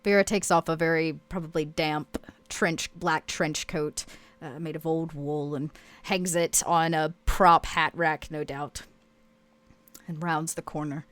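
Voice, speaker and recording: A woman's voice: low voice, steady tone, a little slow, fairly bright tone, dry recording. A woman playing a tabletop roleplay game, narrating what her character does. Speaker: roleplay player.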